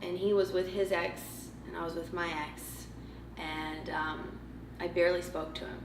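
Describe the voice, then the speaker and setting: A young adult woman from Montreal whose first language is French, a choreographer, telling a story in a relaxed, wry way. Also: fairly low pitch, normal speed. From Montreal, Canada. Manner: relaxed, wry, laughing along. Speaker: professional choreographer. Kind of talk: casual storytelling. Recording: roomy, distant mic with faint noise.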